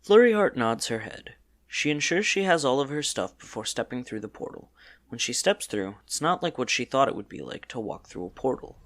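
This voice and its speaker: A man's voice: medium pitch, expressive, a little fast, fairly bright tone. A man narrating a scripted audio story.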